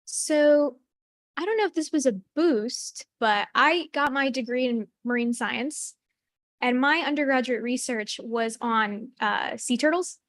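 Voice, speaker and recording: Chatty young woman, slightly high voice. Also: unhurried, fairly bright tone, no echo, clean studio sound. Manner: chatty, lots of fillers and laughs. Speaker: medical student panelist. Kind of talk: casual panel answer. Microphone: video call.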